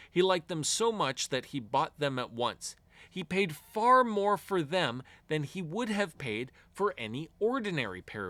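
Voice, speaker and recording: Male children's storyteller: animated, swooping intonation, deliberate phrasing, lightly high voice, close dry sound. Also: dry recording. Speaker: storyteller reading a children's book aloud. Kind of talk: expressive read-aloud fairy tale narration for children.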